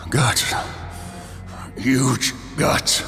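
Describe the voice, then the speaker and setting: An actor playing a crazed, bloodthirsty wounded warrior in a video game. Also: male voice, medium pitch, extremely slow, vocal fry, pushed and bright, heavily acted. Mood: feverish rage. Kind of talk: video game cutscene acting. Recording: long reverb under game music.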